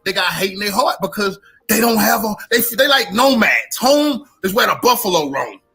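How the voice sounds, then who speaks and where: High-pitched, forceful, husky male commentator. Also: animated, normal speed, soft background music. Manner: forceful, emphatic, repetitive, street slang. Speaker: livestream commentator. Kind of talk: opinionated live commentary.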